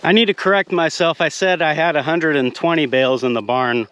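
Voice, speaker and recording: A man's voice: a little high, brisk, a bit bright, smooth. A young man filming his farm vlog, talking casually through the work and thinking aloud as he goes. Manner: easygoing, thinks aloud and corrects himself. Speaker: farmer and video host. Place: outdoors and in a hay barn on a farm.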